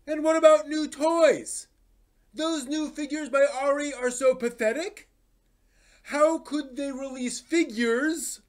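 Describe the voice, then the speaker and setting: High-pitched, theatrically indignant fanboy played by a man. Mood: indignant outrage. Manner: theatrical, emphatic, drawn-out words. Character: indignant, melodramatically aggrieved anime toy fan. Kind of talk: mock dramatic reading of a forum post.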